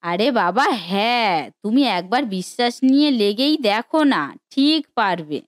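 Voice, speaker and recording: A woman's voice: mid-range voice, animated, moderate pace, no echo, clean studio sound, close to an everyday voice. A woman voicing an affectionate, earnest village wife who reassures her husband in a cartoon story. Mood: encouraging. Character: supportive, encouraging village wife of a biryani cook. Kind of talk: Bengali cartoon story voice acting.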